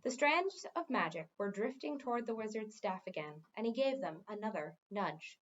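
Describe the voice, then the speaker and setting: Animated woman reading a story aloud. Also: mid-range voice, normal speed, muffled recording. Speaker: read-aloud teacher. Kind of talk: expressive storybook narration.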